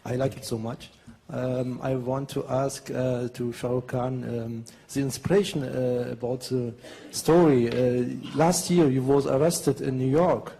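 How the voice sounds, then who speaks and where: A man journalist asking a question at a press conference, nervous and giggly, speaking halting English full of fillers. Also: mid-range voice, fairly even intonation, gravelly, warm tone, breathy edge.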